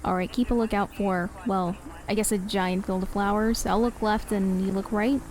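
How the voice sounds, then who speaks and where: A woman playing a brisk, sharp-tongued lead police detective in an audio drama, dry and authoritative. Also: mid-range voice, steady tone, background noise, close to an everyday voice. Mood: alert.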